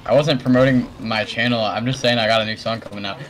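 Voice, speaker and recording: A man's voice: mid-range voice, steady tone, quick pace, noisy background. A teenage boy hosting a casual gameplay video, rambling and self-deprecating, laughing often. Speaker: gaming channel host.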